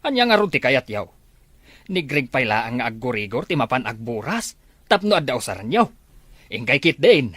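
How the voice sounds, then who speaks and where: A man's voice: mid-range voice, sing-song, normal speed, a bit bright, no room sound. A middle-aged man with an Ilocano accent playing a stern, weary farmer father in an amateur family drama, scolding in exasperation. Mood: exasperated scolding.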